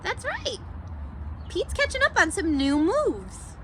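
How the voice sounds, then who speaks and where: A woman teacher leads a read-aloud lesson for young children, high-voiced and very animated. She is encouraging and speaks slowly, with noticeable noise in the background. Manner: encouraging, asks questions and answers them. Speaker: schoolteacher.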